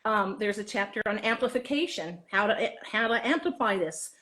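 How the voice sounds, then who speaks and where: A woman's voice: medium pitch, animated, normal speed, sharp tone. A woman talking casually as an interview guest, chatty and given to acting out bits of dialogue. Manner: chatty, acts out quoted dialogue. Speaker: interview guest, author and drama teacher. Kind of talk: animated casual interview talk.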